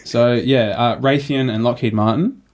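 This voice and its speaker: A man's voice: mid-range voice, steady tone, normal speed. A man co-hosting a casual political podcast, making careful, hedged points. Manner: speculative, hedging, frequent "look" and "yeah". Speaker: podcast co-host.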